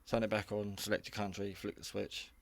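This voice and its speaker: A man's voice: fairly low pitch, level tone, a little fast, vocal fry, no room sound. A man giving a casual, unscripted hobby radio review in a rambling, matter-of-fact, modest way.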